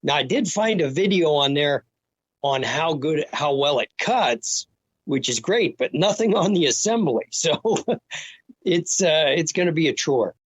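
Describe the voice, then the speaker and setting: A man's voice: a little high, lively intonation, unhurried, slightly rough, no room sound, dull audio. A man talking casually and practically in an informal conversation.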